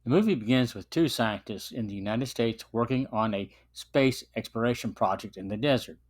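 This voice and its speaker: Middle aged guy doing a podcast review off a script, measured delivery, normal male pitch. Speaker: podcast host and film reviewer.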